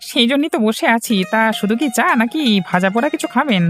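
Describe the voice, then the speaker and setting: A woman's voice: fairly high pitch, expressive, fast talker, a bit bright, faint music behind. A woman voicing a chatty, curious village tea-stall keeper in a cartoon story.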